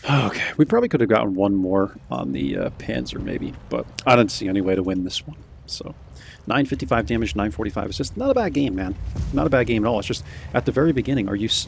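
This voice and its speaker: A low-voiced man with a gravelly, fry-heavy voice talks casually over his gameplay, rambling and tossing in "man" often. His voice sounds a bit hoarse and cracks at times. Faint engine rumble sits behind him. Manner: laid-back, rambling, says "man" a lot. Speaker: gameplay commentator.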